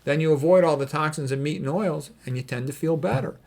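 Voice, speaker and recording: A man's voice: fairly high pitch, fast talker, darkish tone. A man talks off the cuff as a doctor advising on health. He is blunt and opinionated, and he drives his points home by repeating key phrases. His commentary is informal and emphatic. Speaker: doctor giving health advice. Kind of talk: informal commentary on read quotes.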